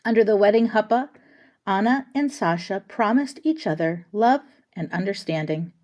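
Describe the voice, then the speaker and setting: A woman reads a children's picture book aloud at a middle pitch. She phrases each line deliberately and with expression, in the style of a storybook read-aloud. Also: expressive, a little mellow.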